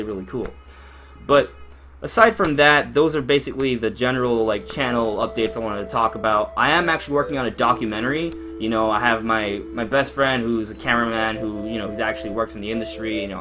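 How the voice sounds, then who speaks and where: A man in his twenties from Miami hosting a live Q&A stream, rambling casually with lots of fillers and restarts as he talks to his chat. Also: medium pitch. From Miami, Florida, USA. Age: 20s. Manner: rambling and chatty, many fillers and restarts, addresses chat directly.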